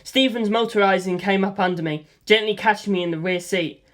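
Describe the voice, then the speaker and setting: A man reading a first-person action story aloud in a lively way, voicing gunfire sound effects himself. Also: high-pitched, brisk. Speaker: audiobook reader.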